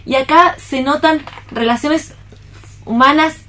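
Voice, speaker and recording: A woman speaks in a casual radio discussion with a somewhat high voice. She lays out her opinion in short bursts, often restating a phrase to sharpen it. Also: unhurried, fairly bright tone. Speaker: radio theatre reader in a discussion. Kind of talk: casual round-table discussion.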